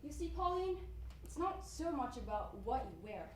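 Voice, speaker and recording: A woman's voice: high-pitched, big pitch swings, moderate pace, distant mic. A woman acting a brutal, bossy professional closet organizer in a stage comedy, with a commanding, clipped, sarcastic delivery. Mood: scornful, lecturing. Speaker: stage actor in a one-act play. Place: indoor stage before an audience, distant microphone. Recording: echoey, noisy stage recording from afar.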